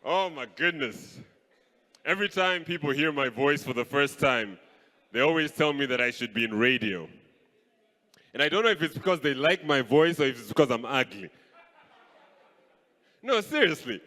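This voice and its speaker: Young male stand-up comedian, bright voice, lively pitch, stage mic, reverberant hall. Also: moderate pace, long pauses. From Kenya. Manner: animated, playful, switches voices. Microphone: handheld stage mic. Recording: live hall with long reverb.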